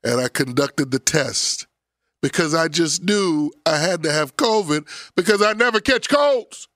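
A pastor in his forties hosts a radio talk show, his voice nasal and scratchy from a cold. He talks in a playful, preacherly way, pitched a touch high, close and dry on the mic. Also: expressive, measured pace, a bit bright, no echo. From Philadelphia area.

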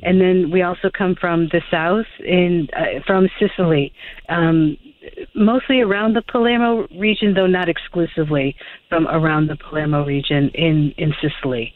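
A woman's voice: fairly low pitch, steady tone, moderate pace, no room sound. A woman author talking as a radio guest over the phone, hesitant, with many fillers and restarts. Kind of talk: phone interview chat. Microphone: telephone. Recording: tinny phone line.

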